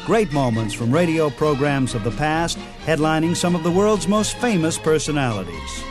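A male radio presenter reads a scripted introduction in a fairly high voice. His delivery moves up and down in pitch like a program announcer's. Music plays clearly behind him. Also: sing-song, moderate pace. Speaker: radio show host and presenter. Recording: music under the voice.

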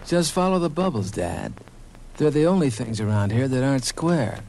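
A cool young beatnik parking attendant in a comedy record parody. His slangy voice is fry-laden and lively in pitch, with clear noise on the old recording. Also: normal speed. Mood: cool, breezy. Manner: cool slangy jive delivery.

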